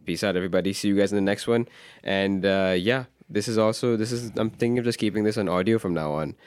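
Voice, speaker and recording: A young man hosting a podcast, relaxed and joking in casual conversation. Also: on the low side, quick pace, clean tone, no echo, clean studio sound. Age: young adult. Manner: relaxed, joking, brief backchannels. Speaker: podcast host.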